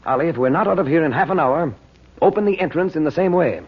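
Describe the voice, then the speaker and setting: An actor playing a calm, determined, commanding adventurer uncle in a vintage radio drama. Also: male voice, a little high, fast, breathy edge. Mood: urgent, commanding. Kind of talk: 1940s radio drama acting. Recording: muffled old radio recording.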